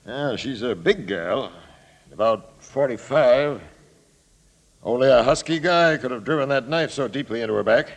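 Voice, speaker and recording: Gravelly, brusque police captain. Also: male voice, medium pitch, animated, normal speed, low-quality recording. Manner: brusque, businesslike questioning. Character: brusque, methodical, impatient police captain, middle-aged man. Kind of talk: 1940s radio acting. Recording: creaky vintage radio recording, faint noise.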